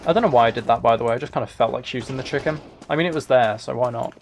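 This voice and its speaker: A man's voice: mid-range voice, rapid-fire, very noisy. A man live-streaming video games, chatting casually to his viewers and thinking aloud as he plays.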